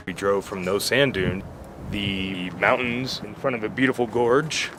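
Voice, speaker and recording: A man's voice: fairly low pitch, fairly even intonation, measured pace, over loud music. A man, an American traveler, talking enthusiastically and chattily about his tour. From United States. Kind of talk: travel testimonial. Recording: outdoor street and traffic noise.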